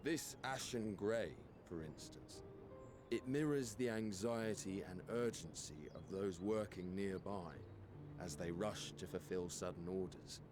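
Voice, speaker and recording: A patient scholar explains in a measured, unhurried way. His voice sits a little low. Ambient game sound runs clearly behind him. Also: noisy background. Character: calm scholarly man explaining flowers.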